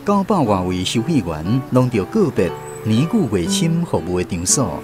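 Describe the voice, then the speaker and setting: Expressive Taiwanese male storyteller, lively pitch. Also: moderate pace, over music. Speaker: narrator of a radio drama. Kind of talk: scripted literary storytelling.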